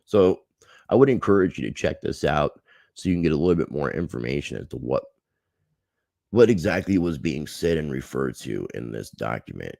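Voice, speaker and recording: A man's voice: rumbling low, gravelly, a little mellow, no room sound, clean studio sound. A man hosting a livestream, chatting casually and earnestly to his viewers. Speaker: livestream host.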